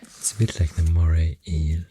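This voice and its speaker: A soft-spoken ASMR host with a Swedish accent, rambling gently through facts in a hushed, breathy, whispery voice with unhurried pauses, close to the microphone. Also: very deep voice, level tone, a little hiss or hum. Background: pencil writing and clicking sounds.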